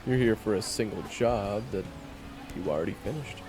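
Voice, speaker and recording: A man's voice: on the low side, lively intonation, normal speed, close to an everyday voice. A man playing a bitter, blunt monster hunter who talks in weary slang in an audio roleplay drama.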